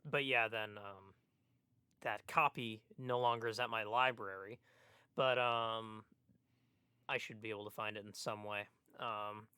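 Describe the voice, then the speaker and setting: A man's voice: on the low side, steady tone, unhurried, bright, forceful tone, clear, resonant tone, no room sound. A man co-hosting a casual podcast, hesitant and thinking aloud as he talks. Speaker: podcast co-host.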